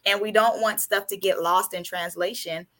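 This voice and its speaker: A woman's voice: medium pitch, fairly even intonation, normal speed, a bit bright. A woman hosting a podcast, talking in a casual, emphatic way and acting out quoted reactions. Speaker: podcast host.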